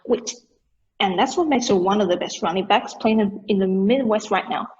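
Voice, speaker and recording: A woman reading the part of an excited amateur football commentator in a play table read, calling the action play by play. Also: mid-range voice, moderate pace, breathy edge, muffled recording, close to an everyday voice.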